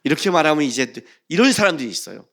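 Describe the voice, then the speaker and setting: Animated male preacher, widely swinging pitch. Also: fast talker, vocal fry. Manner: emphatic, questioning the congregation, swinging between loud stress and hushed phrases. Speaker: pastor. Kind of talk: sermon with scripture reading.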